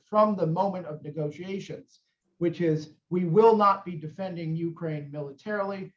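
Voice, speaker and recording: A man's voice: fairly high pitch, roomy sound. Male historian pressing a point, dull muffled audio. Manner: argumentative, earnest, frequent restarts. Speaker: historian on panel. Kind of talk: academic panel discussion.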